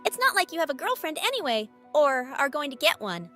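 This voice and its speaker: A woman playing a brisk, pushy middle-aged mother in an animated comic dub, matter-of-fact, teasing and insistent. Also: sits high, exaggerated voice. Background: background music.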